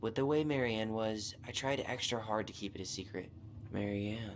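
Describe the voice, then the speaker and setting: A man acting in a video game as a guarded, wry young American man, with understated, dry delivery and a gravelly, hushed voice. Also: on the low side, normal speed, lightly acted. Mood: somber, hurt. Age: young adult. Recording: faint noise, streamed game audio.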